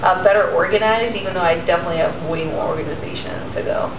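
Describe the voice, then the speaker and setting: A woman's voice: lowish voice, fast talker, background noise. A woman chatting casually as an employee in her own work review. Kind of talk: casual chat. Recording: echoey, distant mic, music behind.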